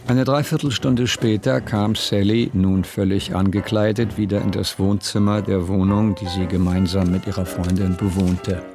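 Composed male narrator, literary radio drama, lowish voice, music underneath. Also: fairly even intonation, normal speed, hardly any pauses. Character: composed male storyteller narrating in past tense.

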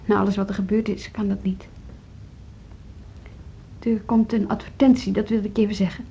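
Husky, hesitant woman music teacher. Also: medium pitch, little pitch movement, mellow. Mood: earnest, subdued. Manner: hesitant, halting. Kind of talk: naturalistic Dutch radio drama acting.

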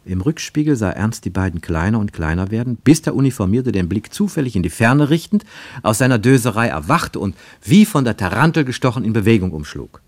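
A man narrating a radio drama in a measured, deliberate storytelling manner, recorded in a studio. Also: on the low side, sing-song, darkish tone, dry recording. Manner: measured, deliberate storytelling with slowed emphatic phrases.

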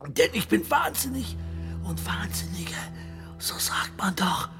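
An actor playing a dying, tormented madman in a German radio horror drama, breathy whispers breaking into feverish, halting cries. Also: high voice, expressive. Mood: tormented raving. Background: music.

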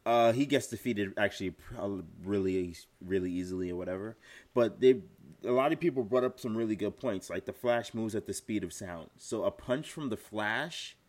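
A man's voice: fairly low pitch, normal speed. A man giving emphatic opinions in a casual chat about TV shows.

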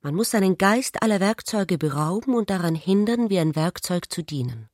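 A woman narrates a literary radio play in a measured, contemplative way. Her voice sits at a medium pitch. The sound is dry and intimate, with no room around it. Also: lively intonation, a little mellow, dry recording.

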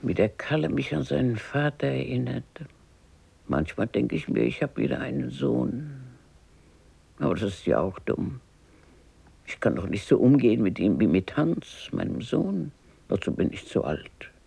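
A feisty old grandmother in a radio play. Her voice is husky and swings widely in pitch. She is blunt, gruff and emphatic, a plain-spoken woman used to scolding. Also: animated, moderate pace, warm tone, full character voice. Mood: wistful. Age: older. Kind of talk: radio drama acting.

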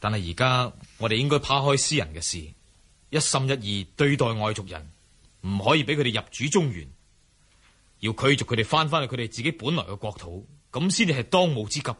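Courteous noble young hero, Cantonese, husky voice, expressive intonation, earnest radio drama delivery. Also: male voice, mid-range voice. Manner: earnest, formal, measured appeals. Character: young, principled, courteous heroic swordsman of noble descent.

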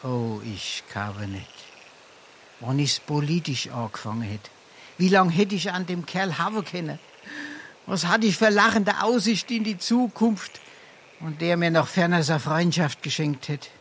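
An actor playing a brazen, pompous scrounger in a Darmstadt Hessian dialect comedy, theatrical and blustering. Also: male voice, fairly high pitch, expressive, a little slow, a bit bright, a little hiss or hum. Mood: self-pitying bravado. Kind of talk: dialect stage comedy acting.

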